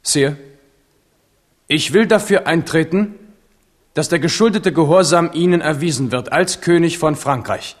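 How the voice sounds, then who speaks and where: Resolute, loyal young-hearted heir, fairly high voice, earnest declamation, long hall reverb. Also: male voice, unhurried, pauses often. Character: steadfast, proud royal heir, loyal but frank. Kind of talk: German radio play acting, declamatory.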